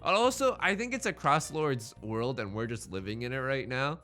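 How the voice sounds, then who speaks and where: A man's voice: mid-range voice, lively intonation, moderate pace, pushed and bright, soft background music. A man giving casual, chatty let's play commentary, thinking aloud in slangy terms as he goes.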